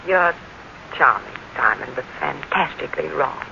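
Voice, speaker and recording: Coy, scheming wife, crackly old radio. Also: female voice, deep voice, animated, moderate pace, vocal fry, full character voice. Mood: cool, mocking. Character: scheming murderous wife, coolly coy and mocking. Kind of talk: 1940s radio acting. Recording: muffled, noisy 1940s radio recording.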